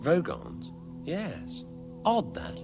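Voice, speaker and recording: An actor playing an unflappable, pedantic alien travel companion in a radio comedy, offhand and casual. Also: male voice, a little high, huge pitch swings, very slow, vocal fry, over music, full character voice. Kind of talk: radio comedy drama acting.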